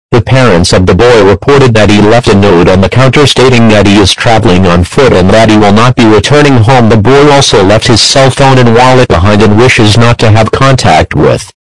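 A synthetic-sounding man reading a formal scripted emergency bulletin in a flat, impersonal, measured way. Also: lowish voice, no room sound, poor audio. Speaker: emergency alert announcer.

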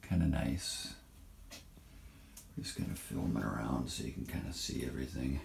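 A man's voice: a bass voice, normal speed, gravelly, darkish tone. A man giving a casual, offhand walkthrough as he films inside an empty trailer on his phone. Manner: offhand, chatty, thinking aloud. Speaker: vlogger filming a trailer renovation. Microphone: handheld phone camera. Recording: echoey bare room, faint hiss.

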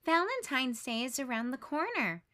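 Bright, high-voiced woman reading aloud. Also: moderate pace, soft background music. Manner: expressive, lifts pitch for quoted lines. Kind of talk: children's picture book read-aloud.

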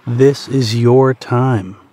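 A man narrating a guided meditation in a soothing, deliberate manner, pausing between short phrases. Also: mid-range voice, steady tone, mellow, breathy edge.

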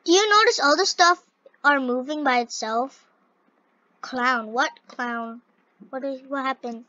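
A young female gamer with a scratchy voice, commenting on a game and reading its dialogue aloud in an excitable, halting way. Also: high-pitched, no room sound. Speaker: gaming video host. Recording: dull, lo-fi close microphone.

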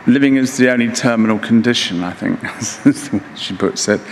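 A man in his sixties giving a conference keynote lecture in a measured, halting way, pausing mid-phrase. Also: mid-range voice. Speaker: keynote speaker. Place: large conference hall stage, indoors. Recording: echoey hall with reverb, background noise.

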